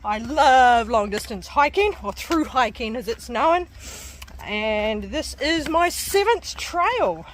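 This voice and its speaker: A woman's voice: fairly high pitch, animated, takes it slowly, a little hiss or hum. Chatty New Zealand woman hiking outdoors. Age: 40s. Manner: chatty, laughs often, breathy from climbing stairs. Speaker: hiking vlogger. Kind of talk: casual vlog narration while hiking. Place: outdoors on a coastal trail.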